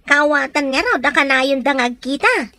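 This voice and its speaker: A motherly, inquisitive Ilocano woman in a radio comedy drama. Her voice is high and talkative, recorded dry. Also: animated, normal speed, bright, forceful tone, dry recording, heavily acted. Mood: nosy, chatty.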